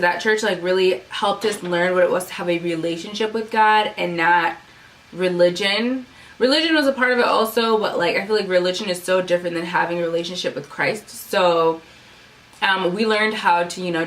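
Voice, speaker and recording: Young woman YouTuber, low-ish voice, rambling casual chat, mic set back with faint hiss. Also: fairly bright tone. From United States, Sudanese family. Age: young adult. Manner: chatty, rambling with many fillers. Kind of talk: casual personal vlog. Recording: mic at a distance, faint room noise.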